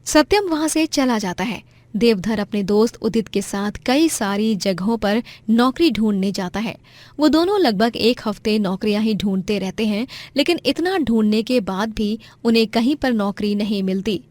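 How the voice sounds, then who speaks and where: A woman's voice: a little high, animated, moderate pace, darkish tone, no echo. A woman narrating a scripted moral story in a dramatic storytelling style.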